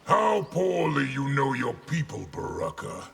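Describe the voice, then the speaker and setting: A man voicing a stern, scornful, zealous general in a video game, with a gravelly, imperious delivery. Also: medium pitch, wildly swooping pitch, measured pace, very noisy, full character voice. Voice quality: gravelly, hard-edged. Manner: imperious, forceful. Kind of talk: video game fighting character dialogue.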